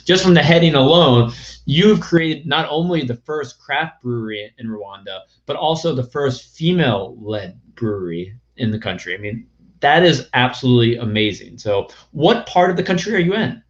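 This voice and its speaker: A man's voice: mid-range voice, lively intonation, normal speed, dull audio. Enthusiastic man hosting over a video call. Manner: enthusiastic, wordy, with filler phrases. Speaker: podcast host.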